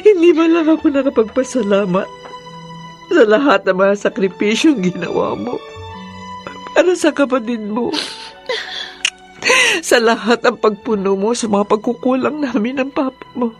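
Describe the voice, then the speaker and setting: A woman's voice: on the high side, expressive, normal speed, pauses often, faint music behind, natural voice. An actress with a Filipino accent playing an anguished middle-aged mother in a radio drama, tearful and wailing as she pleads for her children. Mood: sobbing grief.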